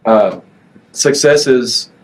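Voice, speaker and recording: A nineteen-year-old man talking as a guest on a podcast, relaxed, often pausing with fillers and restarting his sentences. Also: mid-range voice, rough recording. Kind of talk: casual interview chat.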